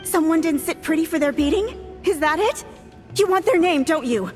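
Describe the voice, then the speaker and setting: High-voiced, sharp-tongued young priestess. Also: female voice, lively intonation, normal speed, over music. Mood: wary, pointed. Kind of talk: anime-style video game voice acting.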